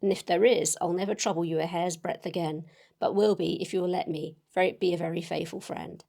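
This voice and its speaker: Woman reading as a folksy, earnest young male suitor, creaky. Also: fairly low pitch, steady tone, brisk, warm tone. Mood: sincere, manly.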